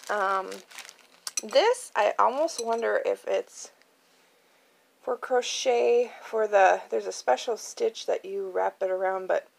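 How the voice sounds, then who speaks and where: A woman's voice: medium pitch, gravelly, a little hiss or hum. A woman hosting a casual, chatty show-and-tell in an informal, tentative way, thinking aloud as she goes. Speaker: craft channel host previewing auction items. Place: indoors at home, at a card table. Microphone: handheld phone.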